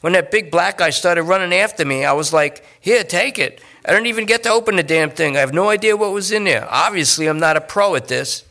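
A man voicing a jittery, talkative male junkie who rambles and pleads in fast bursts. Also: high voice, sharp tone, voice pushed up. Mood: pleading.

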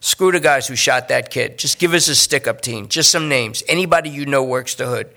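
A man voicing a middle-aged male police detective who talks brisk and persuasive. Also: mid-range voice, vocal fry, sharp tone. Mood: coaxing.

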